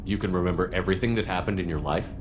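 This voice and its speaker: An actor playing an earnest, principled human military commander in a video game, with a direct, questioning manner. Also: male voice, deep voice, racing, background noise, lightly acted. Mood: curious. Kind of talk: video game dialogue acting.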